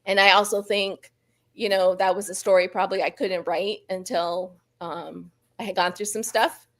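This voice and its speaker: A woman's voice: mid-range voice, steady tone, moderate pace. A woman author speaking as a guest in a casual book chat over a video call, candid and self-deprecating. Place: live online video call.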